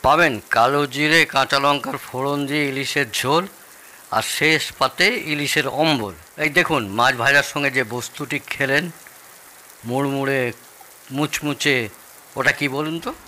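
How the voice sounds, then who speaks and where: A man's voice: mid-range voice, unhurried, bright, forceful tone. An actor playing a jovial, proud older Bengali father-in-law in an audio drama, explaining things expansively and with relish. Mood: playful, proud.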